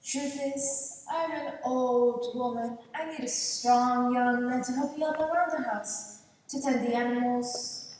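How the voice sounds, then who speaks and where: Woman doing a taunting old witch in a stage play, high voice, super echoey theater. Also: steady tone, measured pace, a little mellow, recorded from a distance, slight background noise, lightly acted. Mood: scheming. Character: mocking, scheming old witch woman of the swamp. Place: large indoor theater stage. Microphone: distant camera.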